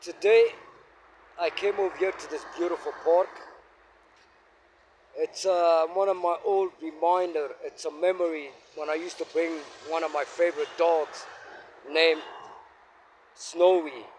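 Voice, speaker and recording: High-voiced man from Afghanistan vlogging. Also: steady tone, a little mellow, slight background noise, low-quality recording. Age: 50s. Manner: halting, reflective, frequent filler words. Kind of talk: rambling personal life story to camera. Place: outdoor park, walking. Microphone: phone held facing himself.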